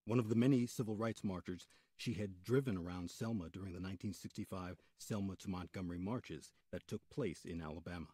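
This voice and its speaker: A man hosting a radio program, reading scripted historical narration with deliberate, dramatic emphasis. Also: low voice, mellow, slightly rough, no room sound, clean studio sound.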